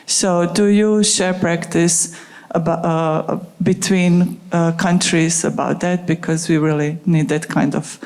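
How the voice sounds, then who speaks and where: A woman from Croatia asking a panel a question from the audience, hesitant with many pauses. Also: fairly low pitch, steady tone, darkish tone. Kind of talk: question from the floor.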